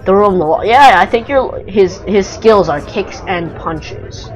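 A young man chatting casually over a video game with a friend, excitable, sarcastic and sweary. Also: sits high, unhurried, low-quality recording. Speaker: gamer commentating over gameplay. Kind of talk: casual gameplay chat with a friend. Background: game music and sounds.

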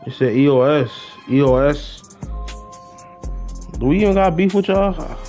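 A man's voice: on the high side, lively intonation, a little slow, dark tone, faint music behind. A young American man chatting casually on a gaming livestream, slangy and repeating his greetings to viewers. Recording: slightly dull, lo-fi stream mic.

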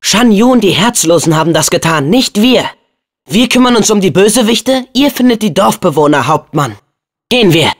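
A man's voice: high voice, animated, normal speed. An actor voicing an earnest, hot-headed and loyal teenage boy hero in a video game, with a youthful, emphatic delivery. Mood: calm, curious. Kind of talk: dubbed video game acting.

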